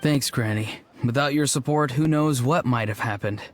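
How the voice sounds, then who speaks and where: An actor voicing a good-natured, grateful young grandson in a video game. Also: male voice, medium pitch, moderate pace, close to an everyday voice.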